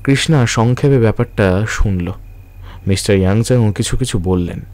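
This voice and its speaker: Casting: male narrator for a radio detective drama, fairly low voice, scripted literary delivery. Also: normal speed, darkish tone.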